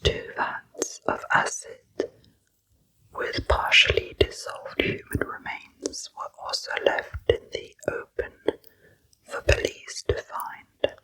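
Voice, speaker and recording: A woman whispering a slow true crime story as an ASMR narrator. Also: low-quality recording. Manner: slow, soft unvoiced whisper throughout.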